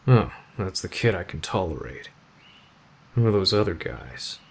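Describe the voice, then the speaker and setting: A man acting in an ASMR roleplay as a gruff, aloof teenage delinquent, deadpan and terse but protective of a friend. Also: low voice, measured pace, heavily acted. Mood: stern, unimpressed.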